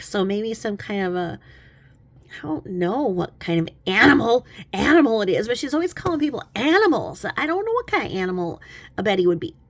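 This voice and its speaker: A woman talks in a casual, rambling stream of snarky commentary, pitched in the middle range. She mocks as she goes and tends to chuckle through her remarks. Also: no room sound. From lives in a small religious community. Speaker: commentary vlogger. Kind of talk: casual mocking reaction commentary.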